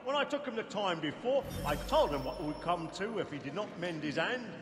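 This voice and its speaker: An actor playing a scheming villain in an 18th-century opera, with a theatrical, declamatory delivery. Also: male voice, high voice, animated, fairly quick, echoey room, noisy background.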